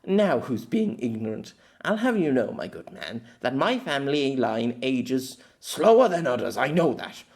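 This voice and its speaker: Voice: medium pitch, big pitch swings, unhurried, hardly any pauses, a little mellow. A performer voicing a proud young warrior mage girl who speaks formally and insistently in an audiobook story. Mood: indignant.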